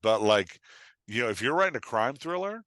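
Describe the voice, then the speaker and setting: A man's voice: mid-range voice, brisk, dry recording, clean studio sound. A man talking casually and bluntly about his craft as a podcast guest and coach, emphatic, often voicing imagined reactions. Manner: animated, emphatic, acts out imagined readers' reactions; informal, blunt, peppered with "like" and "right?". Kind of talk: conversational craft talk.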